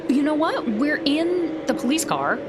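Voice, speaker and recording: A woman's voice: high voice, very animated, measured pace, heavy background noise. A woman from south Louisiana chatting casually as a tabletop game player, blunt and wry. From south Louisiana, United States.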